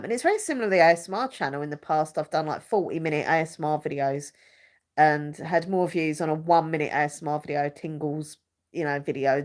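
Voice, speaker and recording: Girl with a stuffy nose, chatty live stream ramble, lots of ums, lowish voice. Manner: chatty and rambling, searches for words, many fillers. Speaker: YouTuber hosting a live chat. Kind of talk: casual rambling live chat.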